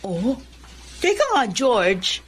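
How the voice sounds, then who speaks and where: A defiant young woman with a high, very animated voice. She is an exasperated girlfriend in a radio drama, with faint ambience behind. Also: drawn-out words, slight background noise, heavily acted. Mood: evasive. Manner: dramatic, indignant. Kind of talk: Filipino radio drama acting.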